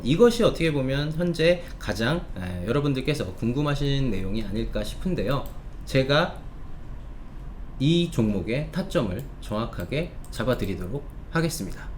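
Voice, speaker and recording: A man presenting stock market commentary with a sales pitch, polite and explanatory, stretching key words for emphasis. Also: a little mellow, a little hiss or hum.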